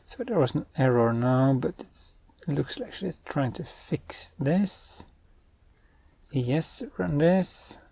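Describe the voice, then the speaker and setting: Brief: young male coding host, husky and mellow, dry close sound, thinks aloud. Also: a little high, expressive, takes it slowly, dry recording. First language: Norwegian. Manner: thinks aloud while giving spoken commands. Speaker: web developer and channel host. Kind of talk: screen-recorded coding tutorial.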